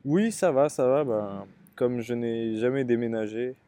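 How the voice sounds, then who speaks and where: A young man with a Parisian French accent answers interview questions about his life and studies. He speaks thoughtfully, pausing often with fillers. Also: medium pitch, dark tone. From Paris area, France. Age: young adult. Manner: thoughtful, hesitant, frequent fillers. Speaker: interviewee, student.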